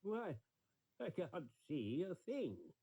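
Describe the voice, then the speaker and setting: Man as an old minister, storybook, flustered, faltering, soft dark tone, raised pitch. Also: sing-song, takes it slowly, slightly rough, heavily acted. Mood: worried, bewildered. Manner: hesitant, faltering. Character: faithful old male minister, flustered and anxious to please.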